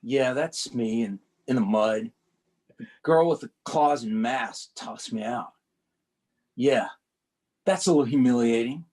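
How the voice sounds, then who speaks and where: A man's voice: mid-range voice, measured pace, pauses often, darkish tone, slightly rough. A man reading a comic aloud as a young male rookie cop, narrating his troubles in a plain conversational first-person way. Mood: wry.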